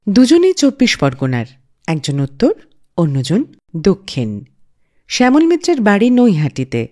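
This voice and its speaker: A woman presenting a scripted radio music show in a measured storytelling manner with dramatic pauses. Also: mid-range voice, wildly swooping pitch, warm tone. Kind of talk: scripted radio narration introducing songs.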